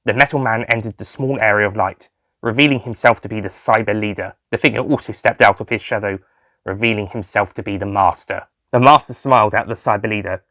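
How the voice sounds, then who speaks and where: A man's voice: fairly low pitch, fairly even intonation, brisk, bright, forceful tone, no echo. A man reading scripted sci-fi fiction as its author and narrator.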